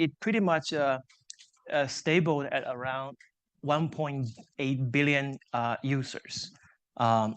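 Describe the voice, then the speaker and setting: Native Chinese-speaking male professor, slightly higher pitched, explaining in an easy lecturing manner with asides. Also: a bit bright. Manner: explanatory, self-deprecating humor, frequent 'so-called' and 'right?'. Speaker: invited seminar speaker, engineering professor. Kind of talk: academic seminar lecture. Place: lecture room with live audience.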